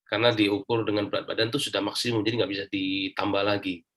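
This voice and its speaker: Low-voiced Indonesian man giving a testimonial. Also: flat delivery, quick pace, fairly bright tone. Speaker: interview guest giving a personal testimonial. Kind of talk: casual spoken personal account.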